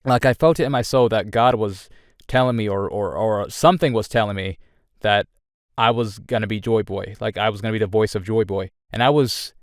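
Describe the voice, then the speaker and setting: A young man speaks low and smooth, with a clean, dry closeness. He talks earnestly about his own path as a content creator. He rambles, often circling back and restarting his sentences. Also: brisk, no room sound. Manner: earnest and candid. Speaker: YouTuber. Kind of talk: reflective personal update.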